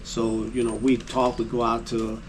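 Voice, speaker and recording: A man interviewed as a guest actor and producer, talking haltingly with many fillers and restarts. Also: mid-range voice, completely flat delivery. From United States. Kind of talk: casual interview. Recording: noisy location recording.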